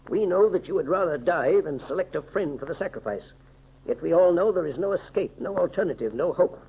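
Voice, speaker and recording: An actor playing a dignified, self-sacrificing scientist with a husky voice and formal, stately delivery in a 1930s radio serial. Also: male voice, a little high, animated, fairly quick, mellow, dull audio. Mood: grave, insistent.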